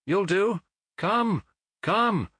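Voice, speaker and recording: A man voicing a hurried, excitable Victorian gentleman who speaks in urgent, commanding exclamations, as in an audiobook. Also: sits high, slightly rough, dry recording, voice pushed up, exaggerated voice. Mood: frantic, relieved.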